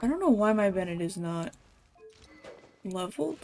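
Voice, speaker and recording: A woman's voice: medium pitch, sing-song, normal speed, darkish tone, a little hiss or hum. Casual woman streaming a game. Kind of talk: casual livestream chat while playing a game.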